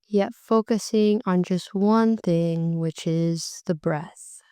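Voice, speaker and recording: A woman guides a meditation in an unhurried, gentle way, letting her phrases stretch out. Her voice is mellow and warm, sitting a little low, and comes through very smooth and clear. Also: dry recording. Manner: slow, soft and soothing, drawn-out phrases.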